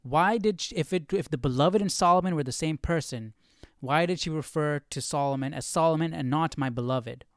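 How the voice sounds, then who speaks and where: A man's voice: a little high, fairly quick, clean tone, dry recording. A man hosting a live Bible study, thinking aloud in casual commentary and puzzling through questions as he goes.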